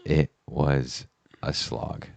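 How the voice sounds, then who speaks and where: A man's voice: extremely low pitch, steady tone, very slow, vocal fry, a little mellow, no room sound. A young man co-hosting a casual chat podcast, easygoing and guiding the talk, a little under the weather. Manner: easygoing, chuckling, steers the segments. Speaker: podcast co-host. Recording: dull lo-fi sound.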